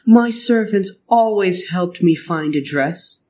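A man's voice: sits high, expressive, slow pace, a little mellow, pitched above the performer's own voice. A man voicing a spoiled, emphatic little princess in a children's storybook read-aloud. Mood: upset. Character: spoiled bossy little princess girl, later contrite.

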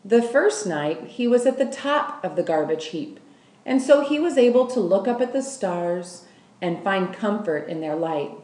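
A woman reading a children's novel aloud as a narrator, with deliberate, measured phrasing. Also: mid-range voice, lively intonation, dark tone. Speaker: school staff member reading aloud. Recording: roomy, echoing room sound.

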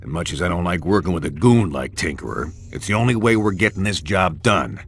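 An actor playing a wry, blunt military intelligence chief in a video game briefing. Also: male voice, deep voice, expressive, fairly quick, breathy edge, exaggerated voice. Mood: wry, commanding.

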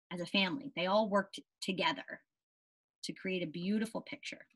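Slow-paced young woman reading to children. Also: on the high side, husky, low-quality recording. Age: young adult. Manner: animated, deliberate storybook reading with questions to the listeners. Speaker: teacher. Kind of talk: read-aloud lesson for young children.

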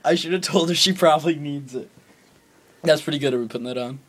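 A young American man talking casually and haltingly, as on an improvised prank phone call, recorded on a camera phone in a small room. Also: fairly high pitch. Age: teens or young adult. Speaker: prank caller. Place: small room at a desk, indoors. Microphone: camera phone at arm's length. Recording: noisy amateur phone video with clicks.

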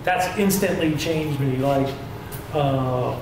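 A man's voice: mid-range voice, takes it slowly, background noise. A man in casual barbershop conversation, telling a loose, self-mocking story about becoming a father. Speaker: panelist. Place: barbershop, indoors. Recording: very echoey shop with crowd chatter.

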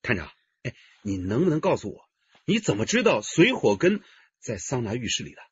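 An actor playing a cheeky, flattering junior detective in a radio drama, theatrical and teasing. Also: male voice, mid-range voice, a little fast, husky, no room sound. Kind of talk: Chinese radio drama acting. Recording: dull, dry radio-drama recording.

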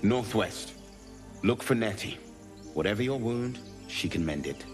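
A man playing a gruff, terse scout in a fantasy game. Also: on the low side, expressive, measured pace, soft background music. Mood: gruff, reserved. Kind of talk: cinematic fantasy game acting.

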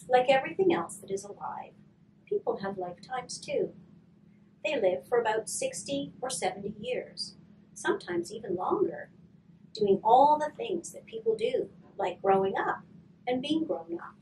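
Woman in her thirties, soft and slow children's reader, slightly high voice, caught a little off-mic. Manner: soft, slow and gentle, often trailing to a whisper. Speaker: hospice volunteer reading to children. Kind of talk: gentle storybook reading and activity demo. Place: curtained room, indoors. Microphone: far camera. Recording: distant mic with faint room noise.

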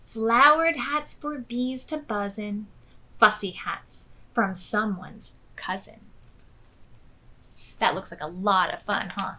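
An American woman in her thirties hosting storytime, reading aloud expressively and clearly to young children. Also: medium pitch, sharp tone, poor audio. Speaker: children's storytime host at a public library. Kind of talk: read-aloud storytelling for preschoolers. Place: small furnished room indoors.

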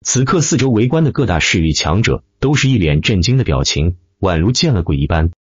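A man's voice: sits low, big pitch swings, fairly quick, breathy edge, no room sound, highs cut off. A man narrating a web novel as an audiobook in a dramatic, emphatic, theatrical style.

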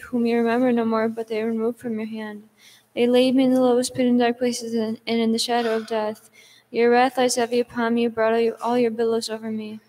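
A woman reading psalms aloud in a steady monotone, moving between English and Greek liturgical text, with a few small restarts. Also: fairly high pitch.

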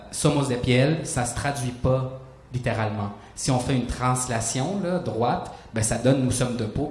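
A native Spanish-speaking man in his thirties thinks aloud on a panel, often restarting his phrases as he hunts for the right word. His voice sits at an ordinary middle pitch. The handheld mic picks up the roomy echo of a small hall and a faint hiss. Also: a little mellow. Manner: searching, restarts phrases, gestures while explaining. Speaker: author on a panel. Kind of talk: reflective panel talk. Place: small hall stage with audience.

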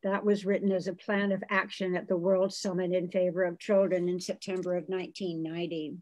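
A woman's voice: lowish voice, flat delivery, fairly quick, a little mellow. A middle-aged woman hosting a video call talk from a home room, speaking with lots of fillers, restarts and gushing thanks. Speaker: host.

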